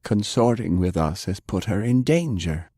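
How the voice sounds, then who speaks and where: A man voicing a principled, weary older gentleman in an audiobook, speaking in a measured, disapproving manner. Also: fairly low pitch, lively intonation, no room sound, studio quality. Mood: earnest, dry. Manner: measured, reproving. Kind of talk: audiobook character acting.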